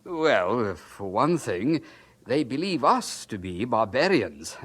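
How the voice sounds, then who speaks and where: A man's voice: medium pitch, big pitch swings, slow pace. An actor playing a polite, flustered missionary in his thirties in an audio drama, hesitant and stammering. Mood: hesitant, resigned. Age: 30s.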